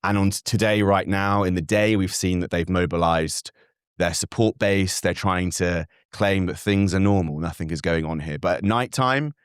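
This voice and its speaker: A young man, a native Farsi speaker raised in Britain, answering as a guest analyst in an earnest, measured way with frequent fillers and restarts. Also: deep voice, smooth, no room sound, studio quality. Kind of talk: interview answers.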